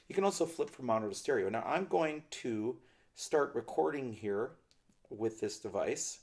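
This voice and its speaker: A man's voice: medium pitch, darkish tone. Middle-aged man explaining ukulele gear. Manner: thoughtful, hedging, explanatory. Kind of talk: product review demonstration. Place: small indoor studio with a plain backdrop.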